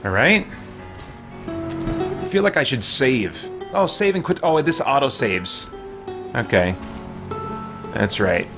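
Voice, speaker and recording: A man hosts a gaming live stream with a middle-pitched voice. He thinks aloud in a casual, rambling way, often correcting himself, with faint music playing behind him. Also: big pitch swings, slow, pauses often. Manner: rambling, self-correcting, chatty. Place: small home room, indoors. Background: game music.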